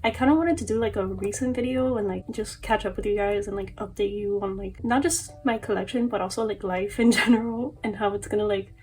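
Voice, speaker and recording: A woman's voice: mid-range voice, a little fast, few pauses, a little mellow. A young woman vlogging casually about her collection and life, rambling with lots of fillers, her voice a little off from allergies. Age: young adult. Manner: chatty, rambling, many fillers and laughs. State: voice a bit off from allergies. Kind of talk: casual chat to camera.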